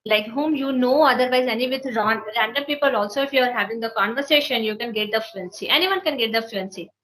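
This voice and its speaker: A woman's voice: a little high, fast, a bit bright, poor audio. Encouraging woman tutor. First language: Hindi. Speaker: host and English tutor. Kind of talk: video call conversation.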